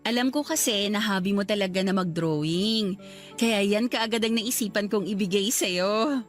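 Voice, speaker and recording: A woman's voice: medium pitch, normal speed, soft background music, lightly acted. A woman playing an emotional young mother and wife in a radio drama. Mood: tender, doting. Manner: emotional, expressive. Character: devoted young mother and resentful, sharp-tongued wife. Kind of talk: Tagalog radio drama acting.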